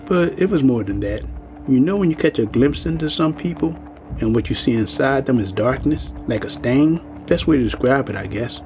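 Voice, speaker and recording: A man's voice: mid-range voice, animated, moderate pace, music in the background, close to an everyday voice. An actor playing a world-weary old working-class man in an audio drama, speaking in a folksy, plain-spoken, ominous way. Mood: grave, reflective.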